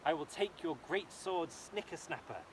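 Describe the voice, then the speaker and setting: A man's voice: fairly high pitch, expressive, moderate pace, slight background noise, pitched above the performer's own voice, lightly acted, poor audio. A man doing a bold, defiant princess. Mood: determined. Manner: determined, defiant. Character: brave young princess in armour, defiant.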